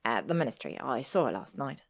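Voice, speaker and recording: A woman's voice: very deep voice, sing-song, fairly quick, creaky, pitched below the performer's own voice, heavily acted. A woman playing a loyal, protective teenage boy wizard in a story, speaking bluntly and sternly. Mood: sober.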